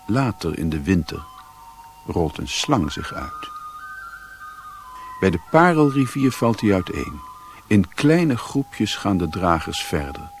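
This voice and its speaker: A solemn radio-play storyteller with a very low voice. He narrates slowly and deliberately in a literary style, over faint music.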